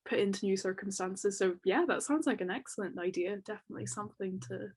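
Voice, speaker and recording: A woman's voice: mid-range voice, fast, darkish tone, breathy edge. A woman from Northern Ireland with an English-sounding accent, a doctoral student answering questions on an academic panel in a chatty way with many fillers.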